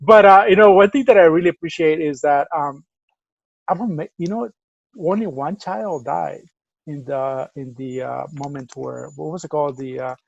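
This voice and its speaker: A man's voice: fairly high pitch, normal speed, creaky, no room sound. Salvadoran-accented man on a dull lo-fi line. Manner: easygoing, rambling with many fillers. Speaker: podcast co-host. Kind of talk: casual chat.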